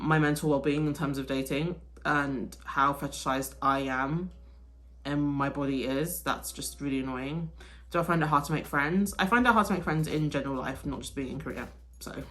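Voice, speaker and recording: A woman's voice: low-pitched, a bit bright. A young woman from the UK chatting casually through a get-ready-with-me Q&A, full of "like" and restarts. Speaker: vlogger, teacher in Korea.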